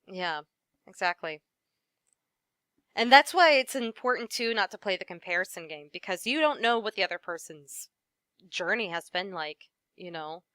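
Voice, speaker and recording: A woman voice actress giving advice in a casual interview, friendly and quick to laugh, with lots of "you know.". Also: on the low side, animated, sharp tone, clean tone, no room sound, clean studio sound.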